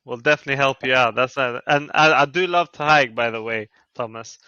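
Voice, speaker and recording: A man's voice: medium pitch, brisk, bright, forceful tone, clear, resonant tone, dry recording. A man from Albania co-hosting an online AMA, talking eagerly and with enthusiasm. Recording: dull lo-fi call line.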